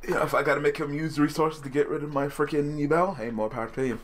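A young man with a hoarse, worn-out voice commentating a card game online, swearing and ranting casually. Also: fairly high pitch, quick pace. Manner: profane, rambling, excitable rants. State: hoarse, losing his voice. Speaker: gaming channel host.

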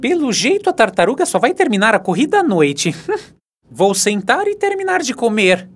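A woman's voice: fairly high pitch, big pitch swings, normal speed, breathy edge, soft background music. A woman voicing an arrogant, mocking hare in a children's cartoon, with a smug, scoffing manner. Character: arrogant, mocking speedy hare, boastful show-off.

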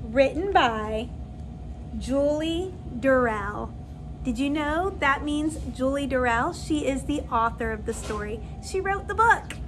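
A woman with a high voice reads a picture book aloud like a kindergarten teacher. She takes it slowly and expressively for young listeners. There is faint noise behind her in a classroom recording. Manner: expressive, animated delivery to children. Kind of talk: read-aloud storytelling for young children. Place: indoor classroom.

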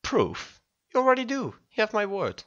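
A man acts out a stiff, indignant president in an audio drama. His pitch moves about a lot, and the recording is slightly dull. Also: huge pitch swings, normal speed. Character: stiff, indignant male president among murder suspects. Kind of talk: scripted audio drama acting.

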